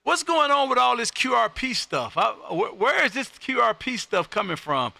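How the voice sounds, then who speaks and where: Loud folksy ham radio guy, high sharp voice w some gravel, super dry sound. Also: sing-song, normal speed, dry recording. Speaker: amateur radio operator and livestream host. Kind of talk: casual radio contact chat. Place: home radio room.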